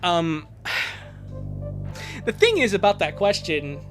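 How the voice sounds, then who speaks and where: A young streamer in their twenties talks to a live chat with a very lively, swinging pitch. The delivery is jokey, hopping between dramatic reading and offhand asides. Music plays clearly behind the voice. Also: takes it slowly. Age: 20s. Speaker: streamer reading a fan fiction aloud to chat.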